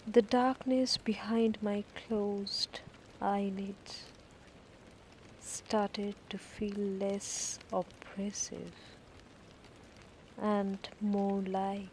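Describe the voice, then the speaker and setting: A woman narrating a guided sleep meditation story in short, deliberate phrases with long pauses between them. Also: mid-range voice, little pitch movement, slight background noise. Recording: rain ambience behind the voice. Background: falling rain.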